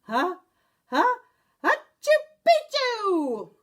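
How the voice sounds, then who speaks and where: A woman's voice: very high voice, huge pitch swings, very slow, pitched above the performer's own voice, full character voice. A woman doing a bouncy boy kitten. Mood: bold. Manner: playful, bouncy, mock Spanish accent. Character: excitable boy kitten pretending to be a Chihuahua.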